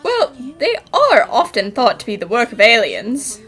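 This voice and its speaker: A woman's voice: on the high side, huge pitch swings, unhurried, a bit bright, breathy edge, full character voice. A woman playing an eager, confident young detective in a game fan dub, speaking in an exclamatory, theatrical way. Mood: explaining. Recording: music behind the voice.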